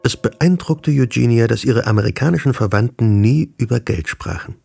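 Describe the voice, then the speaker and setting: An actor narrating a literary period radio drama as a composed storyteller. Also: male voice, mid-range voice, moderate pace, vocal fry, warm tone, slightly rough, no room sound, clean studio sound. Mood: measured narration. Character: composed male storyteller of a period family novel.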